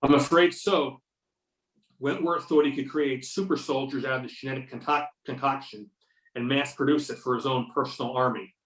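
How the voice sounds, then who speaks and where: A man's voice: medium pitch, fairly even intonation, moderate pace, fairly bright tone, lightly acted. Weary male government agent, read by a man, dry and wry, roomy remote-call sound, off mic. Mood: wry, grim. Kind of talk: amateur readers theater over a remote call. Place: remote video or phone conference. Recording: roomy, distant call microphone.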